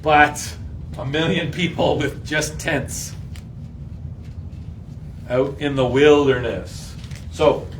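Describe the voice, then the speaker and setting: A man's voice: a little high, slow, long pauses. A man leading a casual, interactive Bible lesson in a playful, slangy way, asking the group lots of questions. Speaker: group Bible reading leader. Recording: roomy echo, distant mic, faint noise.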